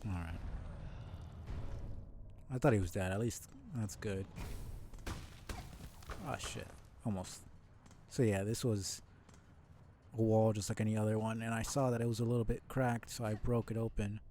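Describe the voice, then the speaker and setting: A man's voice: on the low side, steady tone, normal speed, pauses often, mellow. A man doing casual live gameplay commentary, thinking aloud and swearing now and then. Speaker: live streamer playing a video game. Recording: faint background noise.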